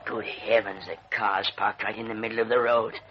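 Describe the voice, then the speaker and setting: A man's voice: fairly low pitch, level tone, moderate pace, natural voice. A man acting an anxious, commanding older businessman haunted by guilt in an old-time radio drama. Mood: irritated. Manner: agitated, commanding, urgent. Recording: muffled, noisy vintage radio recording.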